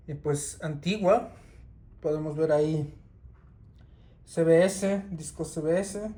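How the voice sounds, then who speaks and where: A man, talking casually and unhurriedly through his CD collection, with many pauses and restarts. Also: fairly high pitch, a little mellow. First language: Spanish. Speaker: record collector.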